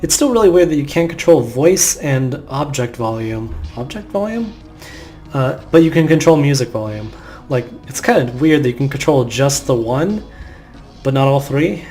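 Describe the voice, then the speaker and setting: A man's voice: medium pitch, lively intonation, normal speed, darkish tone, faint music behind. A man giving casual, rambling commentary over a video game playthrough in an offhand way. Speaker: gamer narrating a playthrough. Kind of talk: casual let's play commentary.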